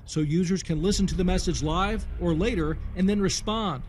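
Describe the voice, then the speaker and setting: A man narrates a scripted news package as a reporter. His voice is pitched clearly high for a man. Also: normal speed, darkish tone, a little hiss or hum.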